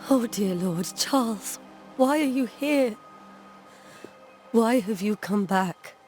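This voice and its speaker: An actress playing a fearful, grieving puritan widow in a game, with a fragile, pleading delivery. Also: female voice, medium pitch, slow, darkish tone, lightly acted. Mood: distressed, pleading. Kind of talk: dramatic game cutscene acting. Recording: music behind the dialogue.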